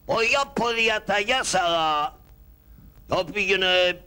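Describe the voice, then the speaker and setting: A man's voice: high-pitched, bright, forceful tone. A man voicing an irritable, commanding pasha in a shadow-puppet play, speaking broken Greek mixed with Turkish words. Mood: imperious.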